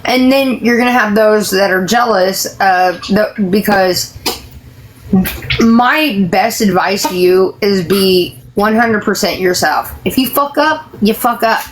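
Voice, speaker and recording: A woman's voice: mid-range voice, takes it slowly, a little hiss or hum, rough recording. Woman, mid-range voice, talks straight and salty, punchy with her advice. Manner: blunt, profane, emphatic advice-giving. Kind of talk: casual live-stream chat.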